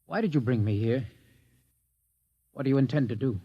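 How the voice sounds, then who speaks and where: A man's voice: medium pitch, lively intonation, moderate pace, dull audio. An actor playing a frightened, indoctrinated young male scientist held captive in a 1950s radio drama, defensive and dogmatic. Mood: puzzled. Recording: old 1950s radio broadcast.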